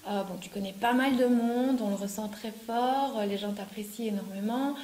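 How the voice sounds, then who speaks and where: A woman's voice: fairly high pitch, normal speed, a little mellow. A woman interviewing a local candidate, asking informal, friendly questions with frequent fillers. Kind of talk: campaign interview. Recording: echoey room with faint hiss.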